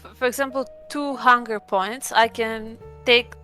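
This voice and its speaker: A woman's voice: fairly high pitch, steady tone, measured pace, bright, forceful tone, soft background music. A woman chatting casually as a player at a tabletop roleplaying game, often questioning.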